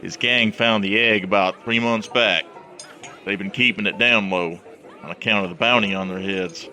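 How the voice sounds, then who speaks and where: A man's voice: fairly low pitch, fairly even intonation, moderate pace, pushed and bright, faint music behind, some chatter behind. A laconic old frontier barman. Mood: cagey. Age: older.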